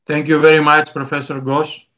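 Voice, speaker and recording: A man's voice: on the high side, level tone, normal speed, pushed and bright. A man moderating an online panel, giving brief, hesitant introductions. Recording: dull lo-fi video call audio.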